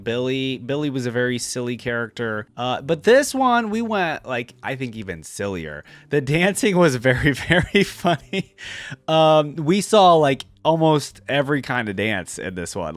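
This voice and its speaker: A man's voice: mid-range voice, no room sound. A young man giving casual, chatty, amused reaction commentary. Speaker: reaction video host.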